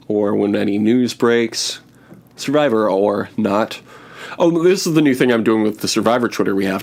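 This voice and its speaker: Male podcast host, thirties, medium pitch, jokey and self-mocking, casual back-and-forth banter.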